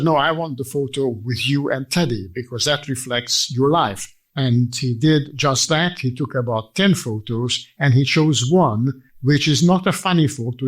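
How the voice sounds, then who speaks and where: A man in his eighties with a Hungarian accent tells his personal story as an interview guest, speaking in a measured, formal and articulate way. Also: mid-range voice, breathy edge. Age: 80s. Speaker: interview guest, survivor giving testimony.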